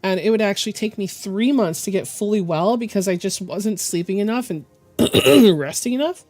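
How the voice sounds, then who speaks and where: A woman chats casually during a live art stream, her voice hoarse and gravelly from a chest illness. She talks in a halting, meandering way, stopping often to clear her throat, while soft music plays faintly behind her. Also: mid-range voice, lively intonation. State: hoarse and deepened from bronchitis.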